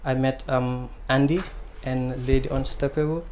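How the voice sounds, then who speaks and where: Soft-spoken young Gambian man, flat voice. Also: medium pitch, moderate pace, a little hiss or hum. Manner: soft-spoken, hesitant with many ums. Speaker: guest singer-songwriter. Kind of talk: casual interview answers.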